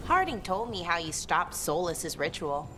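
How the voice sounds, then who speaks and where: An actress voicing an earnest, gentle young female artifact expert in a video game, speaking conversationally with slight hesitancy. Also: lowish voice, sing-song, moderate pace, noisy background. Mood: gentle, hesitant.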